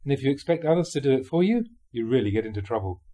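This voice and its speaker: A man with a soft-edged, mellow voice and a slightly husky grain reads spiritual teachings aloud. His pitch sits in the middle range. He phrases things in a measured, emphatic way, as a monk giving a talk would. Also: animated. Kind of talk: reading a dharma talk aloud with informal asides.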